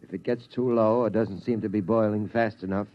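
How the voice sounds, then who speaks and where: Calm father man, patient and low, old radio play, lo-fi sound. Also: fairly quick, lightly acted. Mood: reassuring. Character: calm, steady father of a family. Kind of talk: 1950s radio drama acting. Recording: muffled 1950s radio broadcast.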